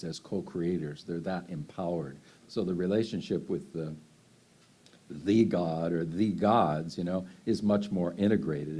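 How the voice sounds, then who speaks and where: A man's voice: sits low, mellow. A man, answering audience questions in a loose, rambling way full of fillers and restarts. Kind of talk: unscripted Q&A answers.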